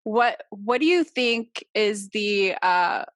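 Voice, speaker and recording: A woman's voice: medium pitch, animated, takes it slowly, no room sound, studio quality. A woman hosting an interview, asking questions in an upbeat, encouraging way. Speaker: interviewer, host. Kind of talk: casual interview.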